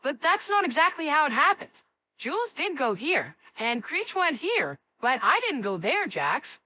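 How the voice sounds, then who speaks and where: Bright, high-pitched cartoon kid. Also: female voice, big pitch swings, a little slow, full character voice. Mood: argumentative. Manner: exclamatory, dramatic delivery. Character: spirited cartoon kid, brash, excitable and cocky. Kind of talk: animated series voice acting.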